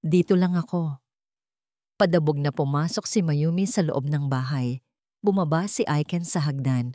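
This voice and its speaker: A Filipino woman reads scripted romantic fiction as a storyteller. Her voice sits fairly low, and the sound is dry, with almost no room around it. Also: moderate pace, dark tone, dry recording.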